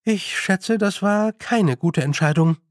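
A man's voice: high-pitched, slow, no echo, voice pushed up. A man voicing a young girl in an audiobook, determined and guarded, with an insistent, dry manner. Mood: careful, dry. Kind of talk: audiobook character voice.

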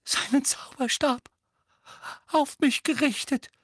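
A man's voice: extremely high pitch, fairly even intonation, takes it slowly, a bit bright, no echo, studio quality, pitched above the performer's own voice, heavily acted. A man voicing a frightened, pampered teenage boy who whispers and stammers shakily. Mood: shaken. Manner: shaky, breathy whisper, stammering.

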